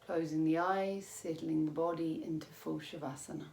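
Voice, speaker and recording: Female meditation guide, low register, calm and measured with long pauses, roomy sound. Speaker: yoga teacher. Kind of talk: guided meditation, yoga nidra instruction. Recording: roomy echo with faint background noise.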